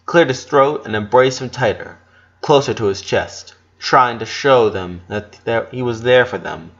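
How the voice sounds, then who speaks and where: A man's voice: medium pitch, fairly bright tone, lo-fi sound. A man reading fiction aloud as a dramatic narration, occasionally stumbling over a word. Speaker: fan fiction reader.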